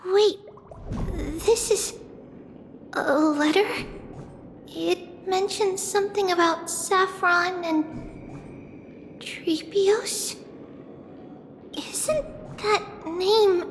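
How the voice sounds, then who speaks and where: A woman's voice: very high voice, extremely slow, long pauses, a little hiss or hum, exaggerated voice. A woman voicing a playful, curious little girl with a small childlike voice in a video game. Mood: puzzled, pensive. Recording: long reverb, loud music behind.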